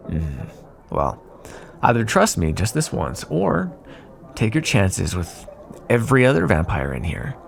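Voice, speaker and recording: A man's voice: lowish voice, wildly swooping pitch, a little slow, gravelly, a little hiss or hum, full character voice. A man playing a sly, teasing vampire in an intimate, sarcastic ASMR roleplay monologue. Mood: wry, coaxing. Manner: sarcastic, teasing, drawling asides. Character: sly teasing male vampire bargaining with his hunter rival.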